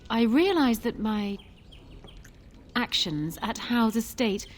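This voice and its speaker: An actress playing a composed noblewoman in a fantasy video game, speaking formally, courteously and deliberately. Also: fairly high pitch, expressive, soft background music. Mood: courteous, contrite. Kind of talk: video game character dialogue.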